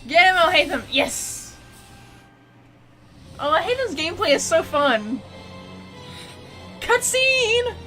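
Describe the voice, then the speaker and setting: High, bright-voiced excitable woman gamer. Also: takes it slowly, long pauses, faint music behind. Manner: excitable, exclamatory, slangy. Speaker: gamer reacting to game cutscenes. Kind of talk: casual gameplay commentary.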